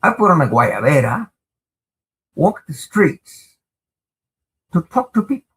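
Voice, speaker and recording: An older man whose first language is Spanish, a lawyer answering interview questions in a measured way, full of "uh" pauses and pointed emphasis. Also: on the high side, sing-song, fairly bright tone. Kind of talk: interview answers, recounting experiences.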